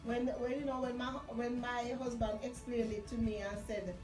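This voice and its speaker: A woman's voice: a little high, fairly even intonation, moderate pace, distant mic. A woman with a Caribbean accent chatting casually and telling stories emphatically, repeating phrases for effect. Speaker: vlog co-host. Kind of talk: casual chat, storytelling. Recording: roomy echo from off mic, music behind. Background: music.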